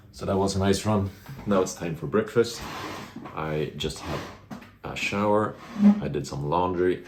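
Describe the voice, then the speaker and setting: A man's voice: sits low, a little mellow, slightly rough. A man, a vlogger musing aloud in casual talk about life and goals. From Sweden. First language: Swedish. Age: 20s. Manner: reflective, musing, self-motivational. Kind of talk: casual vlog talk to camera.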